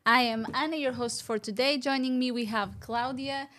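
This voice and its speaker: A woman with a fairly high voice hosts a casual podcast. She introduces her guests, asks personal questions and laughs often as she talks. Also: steady tone, moderate pace, fairly bright tone. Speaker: podcast host.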